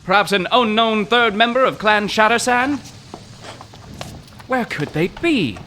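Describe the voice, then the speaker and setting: An actor playing an excitable, wordy poetry professor in a comic mystery audio drama, florid, overwrought and prone to stammering. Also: male voice, high voice, a little slow, a little hiss or hum, heavily acted. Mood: distraught, bewildered.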